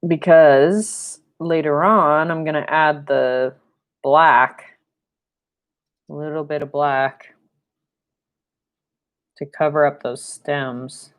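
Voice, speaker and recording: A young woman with a low voice, leading a live-stream painting lesson at home, chatty and rambling with fillers, recorded by a fixed camera mic in a room. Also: steady tone, drawn-out words, long pauses. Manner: rambling and chatty, with fillers and playful sound effects. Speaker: painting instructor. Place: home studio room, indoors.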